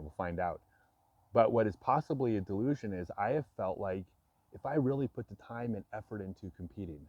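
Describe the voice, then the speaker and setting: A man in his fifties speaks frankly and reflectively to his viewers, mixing self-deprecating asides with earnest admissions. His voice sits low, close and dry, with a slightly dull, muffled sound. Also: darkish tone, no room sound. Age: 50s. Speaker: vlogger. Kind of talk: candid personal talk to camera.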